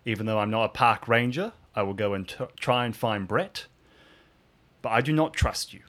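A man voicing an earnest, wary new park ranger in an improvised audio drama, speaking plainly and haltingly. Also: on the low side, a bit bright, close to an everyday voice. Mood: wary, defiant. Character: earnest, wary newly commissioned young male park ranger.

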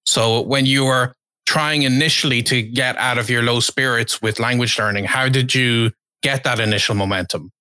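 Bright-voiced male podcast host. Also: mid-range voice, fairly even intonation, moderate pace, no room sound, low-quality recording. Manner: friendly, conversational questions with fillers. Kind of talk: podcast interview.

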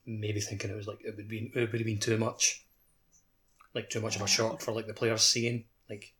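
A man's voice: on the low side, level tone, normal speed, a bit bright, breathy edge. A man chatting casually on a podcast, with hesitant, loosely strung phrasing.

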